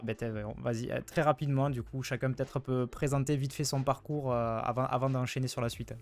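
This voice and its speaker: Male stream host, mid-range voice, quick and chatty, informal. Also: mid-range voice, fairly even intonation, soft background music. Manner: brisk, chatty, speeds up when greeting the chat. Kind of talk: livestream hosting.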